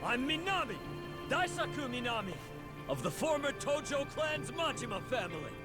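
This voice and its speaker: A very high-voiced, excitable yakuza henchman from a video game. He is eager and deferential, devoted to his boss, and his pitch leaps around. Music plays behind him. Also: moderate pace. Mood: earnest, resolute. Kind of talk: dramatic video game voice acting.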